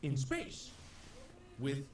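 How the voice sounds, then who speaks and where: Male gamer commentary, very slow and animated, distant roomy sound. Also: mid-range voice. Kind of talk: casual commentary. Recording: roomy, distant, faint noise.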